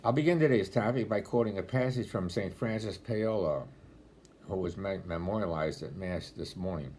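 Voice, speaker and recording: A man in his eighties with a deep voice, speaking as a deacon in a homily-style talk, telling stories with gentle dry humor, filmed on a laptop webcam at home. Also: normal speed, slight background noise. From Rochester, New York area. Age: 80s. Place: home room, indoors. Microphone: laptop webcam at close range.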